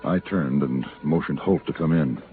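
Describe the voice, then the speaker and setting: An American actor playing a stoic gunfighter for hire in a 1950s western radio drama, speaking in a measured, terse, grave way. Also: male voice, a bass voice. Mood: calm, measured. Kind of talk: 1950s western radio drama acting, also first-person narration. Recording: muffled, hissy old radio recording.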